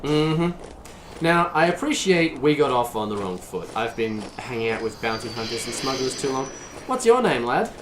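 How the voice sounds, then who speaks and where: A man's voice: mid-range voice, very animated, moderate pace, echoey room, noisy background, close to an everyday voice. A man playing a cavalier, wisecracking space smuggler in an audio drama, breezy and glib. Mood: conciliatory. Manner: breezy, glib, mock-innocent.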